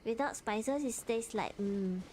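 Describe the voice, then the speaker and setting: A woman's voice: a little high, measured pace, a little mellow, a little hiss or hum. A woman with a Malaysian accent chatting casually as a game livestream host, playful, repeating words and dropping in local particles. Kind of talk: casual livestream chat while gaming. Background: music.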